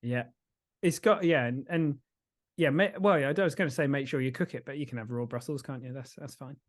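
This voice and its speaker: A man's voice: mid-range voice, a little fast. A man co-hosting a podcast in casual chat, with a jokey, tongue-in-cheek way of talking. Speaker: podcast co-host.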